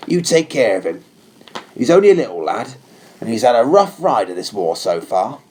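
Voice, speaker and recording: A man's voice: medium pitch, sing-song, moderate pace, darkish tone, breathy edge. A man voicing a firm, unsympathetic male cavalry captain in a storybook reading, with a commanding manner. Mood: hushed concern. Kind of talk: audiobook character voice.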